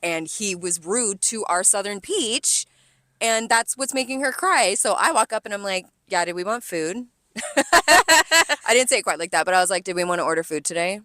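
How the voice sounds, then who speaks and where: An American woman co-hosting a casual podcast, telling stories in an animated, sweary way and acting out conversations. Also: medium pitch, moderate pace, dry recording. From California, United States. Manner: animated, sweary, quotes conversations in acted voices. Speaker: podcast co-host, restaurant server. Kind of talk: casual storytelling chat.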